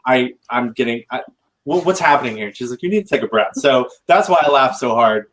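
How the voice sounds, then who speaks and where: American guy, mid pitch, casual panel talk, halting with restarts. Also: poor audio. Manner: halting, many restarts, animated when storytelling. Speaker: recruiting sourcer and veteran.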